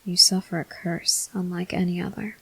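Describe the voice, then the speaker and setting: A woman plays a tormented vampire soldier in an intimate ASMR roleplay. The voice is low and husky, often sinking into whispers, with a gravelly creak. Every phrase comes out grave and halting, stern and weary. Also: little pitch movement, pushed and bright, dry recording, full character voice, low-quality recording. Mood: bleak, brooding. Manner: slow, halting, grave delivery. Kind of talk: ASMR roleplay, slow dramatic monologue.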